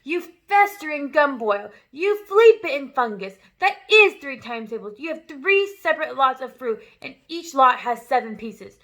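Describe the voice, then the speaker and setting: A woman's voice: a little high, animated, moderate pace, few pauses. A woman reading a story aloud, voicing a tyrannical headmistress who barks insults at a pupil. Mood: bellowing, bullying. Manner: barking insults, loud and harsh. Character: bullying tyrannical headmistress, woman, huge, furious.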